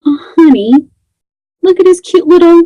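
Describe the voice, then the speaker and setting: A woman's voice: very high-pitched, expressive, moderate pace, dark tone, dry recording, voice pushed up, poor audio. A woman reading the part of a hiker cooing over a small creature, in a doting voice.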